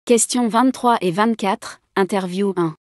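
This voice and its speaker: Woman announcer reading exam instructions, medium pitch, scripted, super dry recording. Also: measured pace, darkish tone, no echo.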